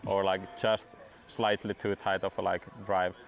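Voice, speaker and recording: A young man whose first language is Swedish, chatting in a relaxed interview and padding his sentences with "like". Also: low voice, gravelly. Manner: relaxed, fills every pause with "like". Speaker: guest disc golf player. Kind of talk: casual interview chat.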